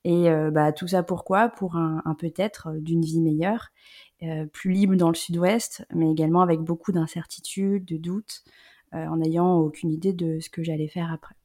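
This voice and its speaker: A woman in her thirties from the Rhône-Alpes region, telling her personal story as an interview guest in a reflective way, often pausing with "euh". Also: sits low, steady tone, mellow. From Rhône-Alpes, France. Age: 30s. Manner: reflective, many hesitant "euh" fillers.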